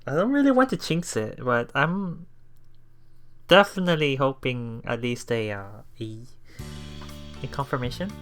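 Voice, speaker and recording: A let's play host casually commenting on a visual novel and reading its lines aloud in a halting, chuckling way. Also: mid-range voice, lively intonation, a bit bright. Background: visual novel background music.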